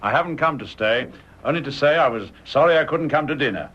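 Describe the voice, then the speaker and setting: A British actor playing an affable, courteous retired officer in a vintage radio drama, with a polite manner. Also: male voice, a little high, expressive, fast, a bit bright, slightly rough. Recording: dull lo-fi radio recording.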